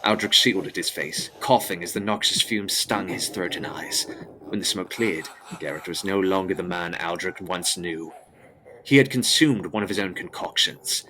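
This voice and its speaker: A man's voice: sits low, normal speed, bright, forceful tone, rough recording. A man narrating a gothic audio drama as scripted, with grave, dramatic phrasing.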